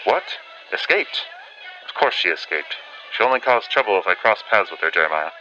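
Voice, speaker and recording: A man acts out a 1940s radio newsman for an audio drama, reluctant, wry and dutiful. His voice is fairly low with a very forceful, piercing edge and old-fashioned announcer phrasing. The sound is somewhat muffled and lo-fi. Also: animated, a little fast. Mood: uneasy, wary. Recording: dull band-limited audio with music behind.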